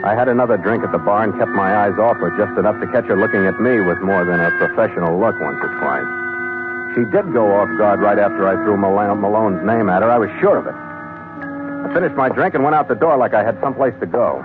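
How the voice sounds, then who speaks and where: Man playing a hard-boiled, low-voiced radio skipper. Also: quick pace, close to an everyday voice. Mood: wry narration. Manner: hard-boiled, wry, clipped. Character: tough, wry seafaring skipper turned amateur sleuth. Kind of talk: 1940s radio adventure acting and narration. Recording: muffled 1940s radio recording, organ music.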